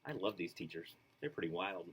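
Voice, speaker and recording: A man reading a children's storybook aloud, expressive and rhythmic, with casual asides. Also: sits low, a little fast, husky, low-quality recording. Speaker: storybook reader.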